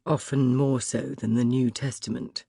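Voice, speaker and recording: A reader voicing a shy, gentle young man at court who speaks softly and hesitantly, lower than the narration. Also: measured pace, breathy edge, no room sound, clean studio sound. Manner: soft and hesitant.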